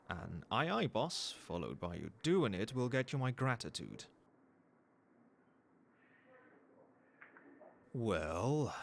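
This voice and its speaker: Man playing a low-voiced, formal CEO boss. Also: vocal fry, slight background noise. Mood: approving, suggestive. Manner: formal, measured, businesslike, faintly suggestive. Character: cold, professional male CEO boss, quietly demanding. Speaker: voice actor in an audio roleplay. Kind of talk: ASMR roleplay, one-sided dialogue to the listener.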